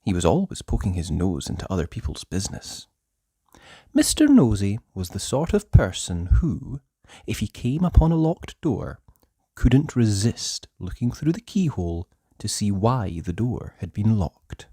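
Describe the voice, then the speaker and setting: A storyteller reading a children's book aloud in a theatrical, deliberate way with drawn-out emphasis. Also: low-pitched, sing-song, warm tone, slightly rough, no echo, studio quality. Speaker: storybook narrator. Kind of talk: expressive children's read-aloud narration.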